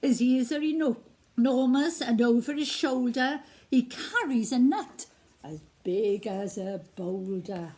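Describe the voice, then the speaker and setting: Woman doing the gruffalo's child, little monster girl, brave and curious, bouncy pitch. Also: big pitch swings, takes it slowly, dark tone, heavily acted. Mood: awed. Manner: bold, curious, wide-eyed.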